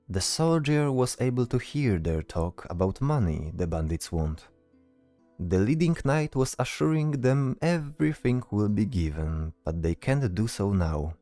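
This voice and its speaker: A man's voice: fairly low pitch, dark tone, no echo. A man narrating a scripted fantasy story as the storyteller.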